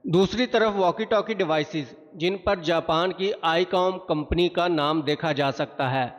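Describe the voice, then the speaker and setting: A man reading a scripted documentary-style explainer in a formal, measured way. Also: on the high side. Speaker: narrator of an explainer video. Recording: muffled, long reverb on voice.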